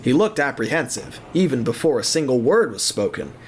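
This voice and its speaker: A man's voice: medium pitch, very animated, fairly quick, a little hiss or hum. A man narrating an audio drama in a scripted, dramatic storybook style.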